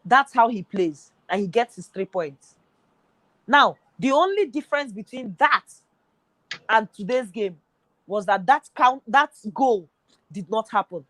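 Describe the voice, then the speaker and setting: A woman's voice: a little high, lively intonation, measured pace, fairly bright tone, dry recording, poor audio. Emphatic woman arguing a football point. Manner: emphatic, argumentative. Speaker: football pundit. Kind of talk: studio football discussion.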